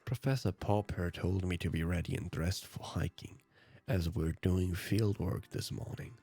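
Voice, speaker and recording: A man's voice: sits low, fairly even intonation, moderate pace, creaky, mellow, slightly rough. A man whose native language is German, hosting a let's play and reading visual novel narration aloud.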